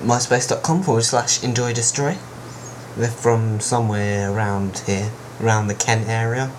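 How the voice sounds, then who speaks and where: A man's voice: lowish voice, fairly even intonation, moderate pace, a little hiss or hum. A young man co-hosting a podcast in casual chat, often stumbling over his words. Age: teens. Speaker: podcast co-host.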